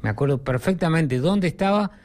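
A man's voice: on the high side, expressive, fairly quick, a little mellow. A middle-aged Argentine man hosting a sports radio show in a rambling, conversational way.

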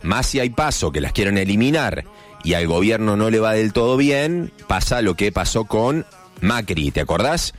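A man's voice: fairly low pitch, very animated, normal speed, soft background music. A man from Argentina with a Córdoba accent, hosting a casual morning radio show with chatty, joking asides. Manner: chatty, jokey asides, playful mock voices. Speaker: radio host.

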